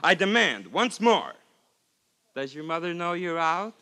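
An actor playing a cocky, scornful gambling rogue in a 1950s radio drama, bombastic and mocking in manner. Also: male voice, fairly high pitch, very animated, unhurried, bright, forceful tone, exaggerated voice. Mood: haughty mockery.

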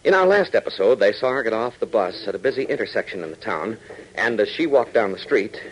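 A man's voice: on the low side, big pitch swings, a little fast, breathy edge, poor audio. An American man announcing and narrating a 1940s radio adventure serial, with scripted, emphatic, dramatic delivery. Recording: old 1940s radio broadcast.

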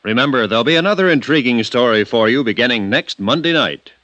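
A man's voice: mid-range voice, expressive, quick pace, sharp tone, muffled recording. A man announcing in the scripted style of a 1950s radio show's closing credits.

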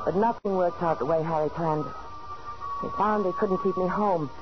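Actress as bitter, stifled wife, old radio drama. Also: female voice, fairly low pitch, moderate pace, lightly acted. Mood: bitter, confiding. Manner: tense, confiding, dramatic. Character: bitter, stifled wife telling of her failing marriage. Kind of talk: 1940s radio drama acting. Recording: dull 1940s radio recording with music.

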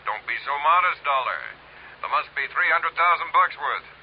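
A man's voice: a little high, lively intonation, normal speed. An actor playing a businesslike male police lieutenant, heard through a telephone filter in an old radio drama. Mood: brisk. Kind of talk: 1950s radio drama acting. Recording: dull, noisy old radio recording.

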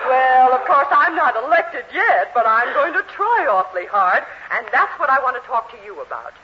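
A woman's voice: high-pitched, very animated, moderate pace. A woman playing an imperious, self-important middle-aged wife in 1940s radio comedy, scolding and declamatory. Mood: stern, imperious. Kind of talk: 1940s radio sitcom acting. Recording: muffled old radio recording, light noise.

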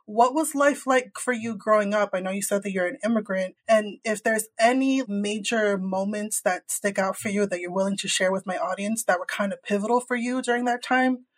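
A woman's voice: mid-range voice, a little fast, hardly any pauses. A young woman hosting her own podcast, talking in a bubbly, blunt way with casual swearing. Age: young adult. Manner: bubbly, blunt, uses profanity. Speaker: podcast host.

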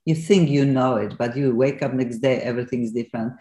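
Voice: medium pitch, steady tone, brisk, mellow. Rambling talk radio host, echoey room. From Poland. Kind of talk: interview host.

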